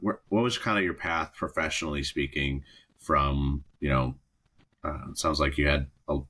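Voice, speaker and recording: A man's voice: a bass voice, pushed and bright. A man hosting a podcast interview, asking long, hesitant questions full of fillers. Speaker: podcast host.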